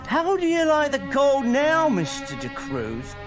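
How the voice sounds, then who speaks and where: A man acting in a radio drama as an exhausted, bitter older professor turned thief, with formal, halting speech. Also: very high-pitched, huge pitch swings, over music, heavily acted. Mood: vengeful gloating. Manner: formal, educated wording, halting pleading.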